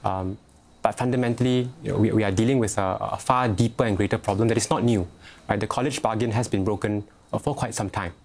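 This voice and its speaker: A man's voice: lowish voice, steady tone, fast, a little mellow. A young Singaporean man answering interview questions, hesitant, with many fillers and restarts. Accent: Singaporean English. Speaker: youth organisation head, interviewee. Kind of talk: news interview.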